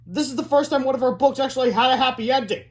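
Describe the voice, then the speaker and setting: A man's voice: very high-pitched, racing, voice pushed up. A man reading a storybook, voicing plucky, cheeky young schoolboys in an eager, exclaiming way. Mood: excited.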